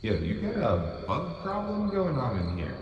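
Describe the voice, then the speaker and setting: A man's voice: mid-range voice, wildly swooping pitch, a little slow, highs cut off, slight background noise. A man voicing a wary, streetwise young male magic apprentice in an audio drama, casual and sarcastic. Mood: skeptical. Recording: roomy distant sound.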